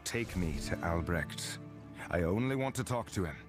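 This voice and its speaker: An actor playing an earnest, resolute adventurer in a fantasy game, grave and direct. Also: male voice, deep voice, lively intonation, normal speed, over music. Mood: grave, insistent. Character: earnest, resolute male adventurer, guardian of balance.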